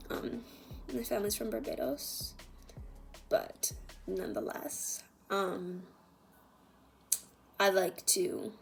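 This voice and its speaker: Casual chatty woman over background music. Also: mid-range voice. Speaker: beauty vlogger. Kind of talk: casual chatty makeup tutorial.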